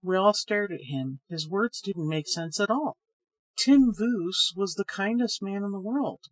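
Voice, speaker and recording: A woman reading a story aloud as a scripted narration, with a husky voice. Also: mid-range voice, a little slow. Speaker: story narrator, podcast host.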